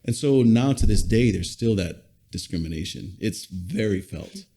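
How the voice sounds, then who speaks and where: Guest on a podcast: middle-aged man, born in Western Samoa, low voice, easygoing storyteller. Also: moderate pace. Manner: relaxed, matter-of-fact storytelling. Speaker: guest, business owner. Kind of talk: casual interview conversation. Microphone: podcast studio mic.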